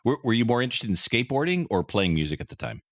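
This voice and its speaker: A man's voice: mid-range voice, sing-song, racing, a bit bright, no room sound, studio quality. A man hosting a podcast interview, asking curious, chatty questions. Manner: curious, chatty, laughs often. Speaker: podcast host.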